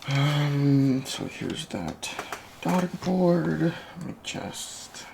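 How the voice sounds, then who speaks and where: A person doing a soft-spoken ASMR roleplay as a repair technician, murmuring hesitantly to the listener through each step. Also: medium pitch, lively intonation, drawn-out words. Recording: constant fan noise, clicks and chair creaks. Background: computer fan hum, clicking and typing.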